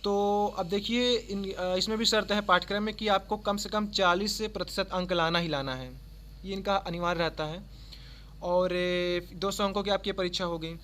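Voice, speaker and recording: High-pitched man explaining. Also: steady tone, moderate pace, fairly bright tone. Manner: instructional, repeats and rephrases points with check-in tags. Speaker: YouTube exam-prep presenter. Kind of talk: informal explainer of job notices. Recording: faint background noise.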